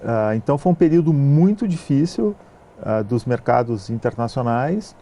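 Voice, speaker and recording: A man from southern Brazil with a gaúcho accent, a film producer answering interview questions in a measured way, with frequent hesitation sounds. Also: medium pitch, lively intonation, dark tone. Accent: gaúcho Brazilian Portuguese. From southern Brazil (Rio Grande do Sul).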